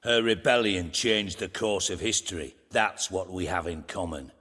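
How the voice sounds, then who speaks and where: A man playing a calculating, erudite conspirator in a fantasy video game, talking in a measured, lecturing manner. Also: low-pitched, sharp tone, a little hiss or hum. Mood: calm, assured. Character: composed, erudite male conspirator, a calculating revolutionary. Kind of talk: dramatic video game dialogue.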